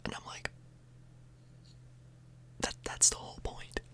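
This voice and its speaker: A woman speaks entirely in a soft, breathy whisper. She rambles casually in an ASMR style, hesitating and correcting herself as she chats to her viewers. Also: takes it slowly. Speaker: ASMR YouTuber, host. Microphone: microphone a little further away than usual.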